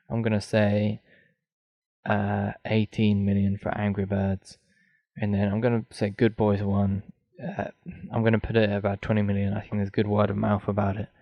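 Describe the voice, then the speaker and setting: A man's voice: on the low side, vocal fry, dry recording, highs cut off. A man hosting a solo film podcast, rambling and thinking aloud with many ums, his voice getting dry. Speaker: podcast host.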